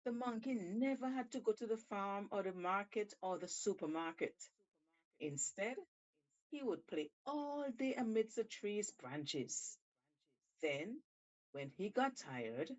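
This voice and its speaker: A woman storyteller reading a tale aloud with deliberate, theatrical emphasis. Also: mid-range voice, poor audio. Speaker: storyteller, show host. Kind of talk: expressive scripted storytelling.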